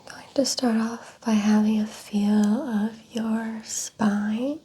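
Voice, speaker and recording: Female ASMR doctor: breathy, husky near-whisper, unhurried, gently clinical. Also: on the high side, fairly even intonation. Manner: soft, whispery, gently clinical instructions and remarks. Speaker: ASMR performer playing a doctor. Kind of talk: soft-spoken ASMR medical exam roleplay.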